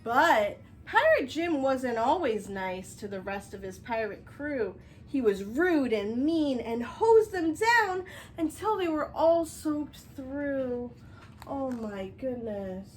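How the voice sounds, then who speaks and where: A woman reading a picture book aloud as a children's teacher, expressive and instructive, explaining things directly to young viewers. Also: sits high, slow, a little hiss or hum, poor audio. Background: music.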